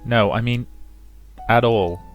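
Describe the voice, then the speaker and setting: A man voicing an insecure, affectionate, tipsy young woman who speaks in a halting, earnest, confiding way in a visual novel. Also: mid-range voice, muffled recording, faint music behind. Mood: hesitant, confiding.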